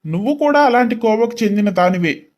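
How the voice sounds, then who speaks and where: A woman's voice: fairly high pitch, expressive, brisk, darkish tone, pitched below the performer's own voice, natural voice. A woman voicing a contemptuous, accusing young husband in a dramatized story. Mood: angry, accusing.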